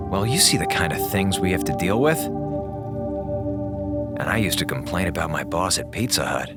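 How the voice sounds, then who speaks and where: Exasperated, wisecracking space officer, swooping pitch. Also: male voice, lively intonation, normal speed, loud music behind the voice. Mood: wry, weary. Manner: sarcastic, dramatic. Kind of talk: audio drama acting.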